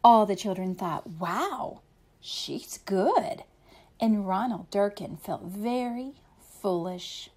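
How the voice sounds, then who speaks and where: A woman's voice: mid-range voice, animated, takes it slowly, darkish tone. A woman reading a picture book aloud expressively for young children, explaining things simply like a teacher.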